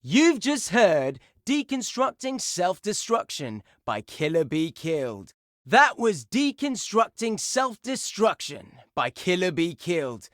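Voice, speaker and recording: An actor playing a brash, excitable heavy metal radio DJ in a video game, announcing songs and listener requests in a punchy, showy style. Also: male voice, sits high, dry recording, studio quality, full character voice.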